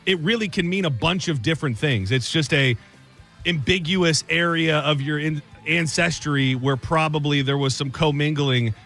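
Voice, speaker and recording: A man in his thirties hosts a casual radio show, his voice bright and a touch high. He tells stories in a rambling, self-mocking way. Faint music plays behind him. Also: moderate pace. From Michigan. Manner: rambling, self-deprecating storytelling.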